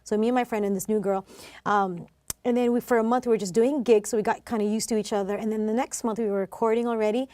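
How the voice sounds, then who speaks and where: A woman's voice: fairly high pitch, steady tone, fast, mellow, no echo. A woman guest telling her personal story in a casual, chatty way, with bits of light laughter. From Philippines and New Zealand. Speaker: interview guest, singer and worship director.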